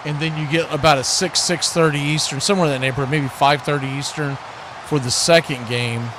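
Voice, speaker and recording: A man with a fairly high voice does play-by-play for a live football watch party. He talks in a folksy, conversational way, with clear background noise behind him. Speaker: play-by-play host.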